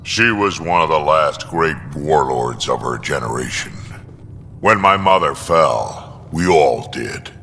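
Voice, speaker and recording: An actor playing a battle-worn old alien warrior in a video game, gruff and weighty as he speaks. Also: a bass voice, lively intonation, sharp tone, exaggerated voice. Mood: somber. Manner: slow, weighty storytelling. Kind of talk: video game character dialogue. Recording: long hall reverb, background noise.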